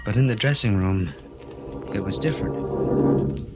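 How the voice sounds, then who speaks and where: An actor playing a bitter, anguished vaudeville little person in a 1940s radio drama, intense and halting. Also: male voice, on the low side, big pitch swings, dull audio, over loud music. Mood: bitter, brooding.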